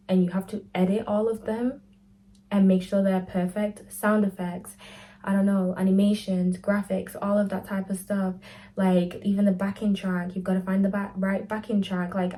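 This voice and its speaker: A woman's voice: mid-range voice, dark tone. A woman, chatting candidly to camera in a casual Q&A vlog, full of "like" and "type of thing". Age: 20s. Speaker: lifestyle vlogger.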